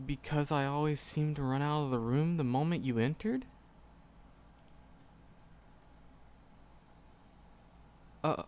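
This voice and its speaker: A shy, lovestruck magic professor acted by a man speaks in a slow, halting way at a medium male pitch. He stammers and hesitates, flustered and earnest, as a character in an intimate fantasy roleplay audio drama. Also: clean tone, natural voice. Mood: nervous, earnest.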